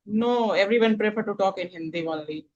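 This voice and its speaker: A woman's voice: medium pitch, fairly quick, a little mellow, dull audio. Young Indian woman, guest, plain mid-range voice, earnest and filler-laden. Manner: earnest, explains step by step with fillers. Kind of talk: casual conversation practice.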